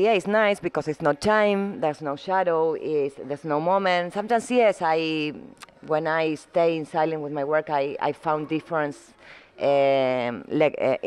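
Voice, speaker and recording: A middle-aged woman from Argentina, speaking English as an in a halting, searching way as an interview guest. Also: deep voice, clean tone. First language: Spanish. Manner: halting, searching for words, frequent restarts. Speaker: muralist guest. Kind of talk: casual interview conversation.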